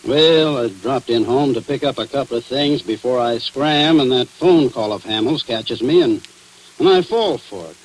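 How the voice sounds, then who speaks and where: A man's voice: medium pitch, normal speed, lightly acted. An actor playing a crooked, rueful American cop who talks in tough-guy slang, in 1940s radio drama style. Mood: bitter, agitated. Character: crooked cop confessing murder to his boss, rueful, slangy. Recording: noisy, hissy 1940s radio recording.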